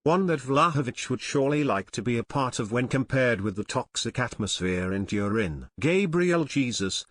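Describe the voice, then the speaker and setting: A man delivers scripted football news as a narrator, in a formal, journalistic manner. His voice sits at a medium pitch, and the sound is dry and close, free of room reflections. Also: animated, normal speed, few pauses, no echo.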